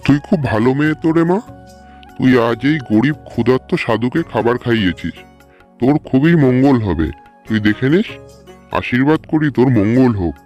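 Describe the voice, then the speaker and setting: A man's voice: medium pitch, lively intonation, brisk, darkish tone, highs cut off, lightly acted. A man acting a middle-aged, kindly village elder in a cartoon folk tale, over faint music. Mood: grateful, kindly. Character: village father and wandering hungry holy man.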